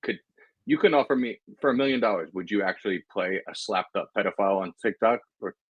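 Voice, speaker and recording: Husky low-voiced man, blunt and profane. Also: quick pace, no room sound, narrow, tinny audio. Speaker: podcast guest, actor. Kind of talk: casual chat. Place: home room, indoors.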